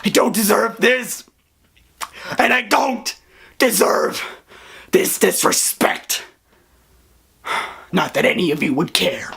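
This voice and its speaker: A voice actor playing a hurt, hot-tempered young man with wacky hair, crying and shouting through an outburst. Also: mid-range voice, lively intonation, slow pace, creaky, long pauses, breathy edge, exaggerated voice. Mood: resentful. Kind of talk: dramatic voice acting.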